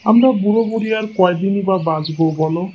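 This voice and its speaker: Man playing a kindly old father-in-law, high-pitched. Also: quick pace, dark tone, lightly acted. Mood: resigned, advising. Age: older. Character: patient, kindly old village father-in-law, gently resigned. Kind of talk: cartoon fairy-tale voice acting. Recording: muffled lo-fi audio, clear background noise.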